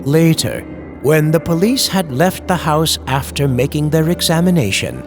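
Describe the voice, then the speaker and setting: A man reading scripted horror in an old-time radio style, with dramatic, deliberate, suspenseful delivery. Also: fairly high pitch, lively intonation, music in the background. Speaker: horror story narrator, channel host.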